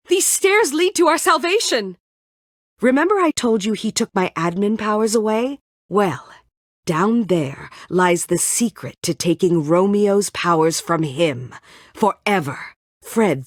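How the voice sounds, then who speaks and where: A woman's voice: fairly low pitch, no room sound. A woman playing a bitter, guarded former admin and ex-prisoner in a video game, curt and dryly sarcastic. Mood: impatient, sardonic. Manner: curt, dry sarcasm, brooding.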